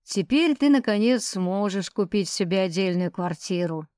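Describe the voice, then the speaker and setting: A woman voicing a hearty, practical, soldierly man with a brisk, colloquial manner in an audiobook. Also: mid-range voice, animated, darkish tone, no room sound, clean studio sound, natural voice. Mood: satisfied, practical.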